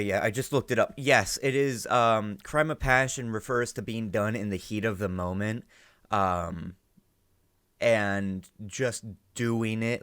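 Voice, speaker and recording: Bright-voiced man, casual reaction host. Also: fairly low pitch, clear, resonant tone, no room sound, studio quality. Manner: rambling, informal, swears. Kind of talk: casual off-the-cuff reaction commentary.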